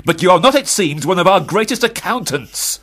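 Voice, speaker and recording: An older parson father, severe and formal. His husky delivery swings widely in pitch as he reproaches his grown son with biting sarcasm. It is period radio drama. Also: lively intonation, a little fast, a bit bright. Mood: reproachful.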